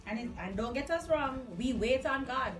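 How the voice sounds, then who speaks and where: A woman with a Caribbean accent and a fairly high voice chats casually, chiming in with emphatic agreement. The room is very echoey and faint music sits behind her. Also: lively intonation, normal speed, far from the mic. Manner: interjecting, emphatic affirmations. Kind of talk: casual chat. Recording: roomy echo off mic, faint music.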